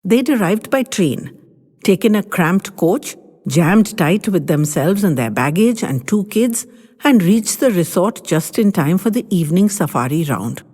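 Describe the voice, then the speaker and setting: A woman's voice: fairly low pitch, lively intonation, measured pace, mellow. A woman voicing a grandchild who tells the family a story with teasing, bossy confidence. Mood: storytelling. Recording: long hall reverb.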